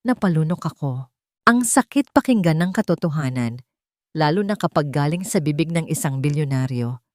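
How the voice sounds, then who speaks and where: A woman's voice: low-pitched, animated, normal speed, no room sound, clean studio sound. A woman narrating a dramatic romance story in the first person, as a storyteller.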